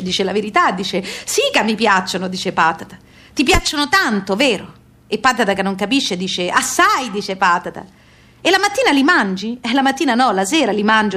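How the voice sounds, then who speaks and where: A woman's voice: medium pitch, a bit bright, close to an everyday voice. An actress playing a proud, bossy emigrant mother in a radio play, with a southern Italian accent and emphatic, repetitive, folksy phrasing. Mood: storytelling. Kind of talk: stage-like radio theatre monologue and dialogue.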